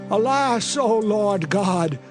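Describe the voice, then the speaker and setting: Casting: grave, earnest biblical leader, high voice, solemn declamation, music behind. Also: male voice, animated, takes it slowly. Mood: anguished pleading. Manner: declamatory, solemn archaic speech. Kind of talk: dramatized audio Bible acting. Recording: background music under the voice.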